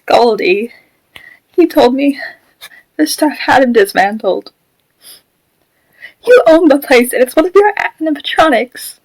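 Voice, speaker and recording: An upset young boy, acted by a woman in an audio drama. The voice is high and swings widely as he pleads and accuses. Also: lively intonation, a little slow, long pauses, rough recording. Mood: distraught, accusing. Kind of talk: fan audio drama acting.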